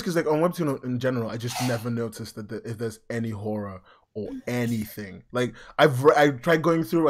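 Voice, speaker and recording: A man's voice: mid-range voice, background noise. A male podcast co-host chatting casually, laughing often and swearing freely.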